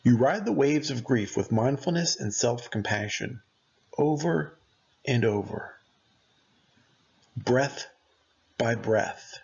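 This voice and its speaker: Gravelly-voiced man guiding a meditation. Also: mid-range voice, lively intonation, slow pace, pauses often, a little mellow, lo-fi sound. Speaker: meditation guide. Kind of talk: guided meditation narration.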